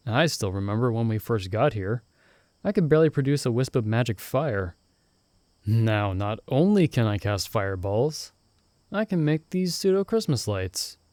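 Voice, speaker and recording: Casting: a man as upbeat young fantasy mage, loyal best-friend type, soft mellow tone, close dry sound, casual ASMR roleplay. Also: mid-range voice, dry recording, close to an everyday voice. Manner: casual, chuckling, reassuring. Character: cheerful, caring young male friend, novice mage in fantasy world. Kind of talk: ASMR roleplay, intimate one-sided conversation.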